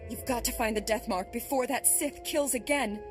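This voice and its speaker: A woman's voice: a little high, normal speed, music in the background, lightly acted. A woman playing an earnest, shaken research worker in a video game, with a dramatic delivery. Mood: urgent. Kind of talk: scripted video game acting.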